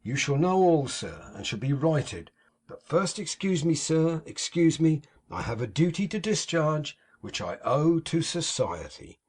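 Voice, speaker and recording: A man voicing a pompous, sanctimonious middle-aged gentleman in an audiobook, with a slightly husky, raised voice and grand theatrical phrasing. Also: a little high, sing-song, unhurried, pitched above the performer's own voice, exaggerated voice. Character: pompous hypocritical middle-aged male architect.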